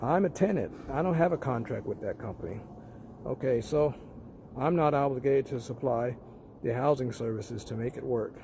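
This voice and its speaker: A man's voice: mid-range voice, normal speed, warm tone, slight background noise. A man giving a casual narrated walkthrough as a tenant, explaining things plainly and repeating points for emphasis, recorded while walking around a parking lot.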